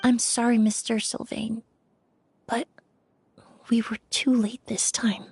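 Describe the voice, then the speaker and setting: A woman voicing a composed, courteous young investigator in a video game, speaking in a measured, precise and polite way. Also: fairly high pitch, level tone, creaky, a little mellow, husky, full character voice. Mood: gentle, grave. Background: background music.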